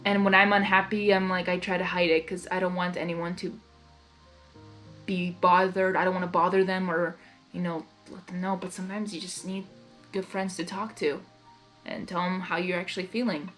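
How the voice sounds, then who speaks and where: A woman reading fan fiction aloud as narrator, expressive and excitable, with casual reactions. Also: lowish voice, normal speed, soft background music.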